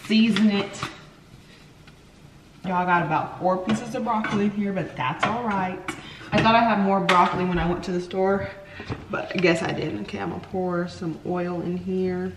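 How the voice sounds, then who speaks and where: A woman's voice: on the low side, steady tone, moderate pace. Young woman vlogger, casual cooking chat to the camera, roomy kitchen with sizzling pans. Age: 20s. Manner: chatty, playful, talks to viewers while cooking. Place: home kitchen, indoors. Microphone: camera on the counter. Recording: echoey kitchen with frying noise.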